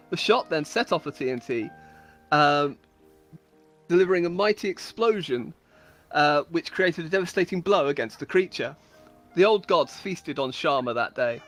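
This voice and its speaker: A woman's voice: lowish voice, expressive, normal speed, faint music behind. A woman storyteller giving a dramatic, mock-epic reading of comic fantasy stories, with amused asides. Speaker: storyteller on a game server.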